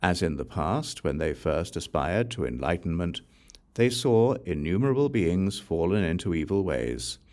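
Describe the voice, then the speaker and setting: A man reading scripture aloud as an audiobook narrator, solemn and formal, in measured phrases that fall away at the ends. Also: low voice, very animated, a little mellow. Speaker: audiobook reader.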